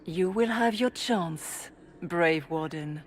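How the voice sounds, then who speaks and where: A woman playing a serene spirit in the guise of a holy leader, speaking in a measured, formal way for a video game. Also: on the low side. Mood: solemn, calm.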